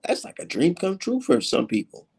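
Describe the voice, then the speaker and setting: A man's voice: high-pitched, huge pitch swings, moderate pace, vocal fry, darkish tone, husky. An American man in a casual, profane, slangy chat, talking like a sports show host. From United States, Minnesota and Texas. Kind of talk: casual street-talk chat about sports.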